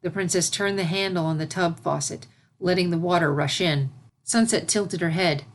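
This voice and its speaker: A woman's voice: on the low side, normal speed, slight background noise. A woman reading a story aloud as its narrator, in scripted storytelling style. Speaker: fan fiction reader and narrator.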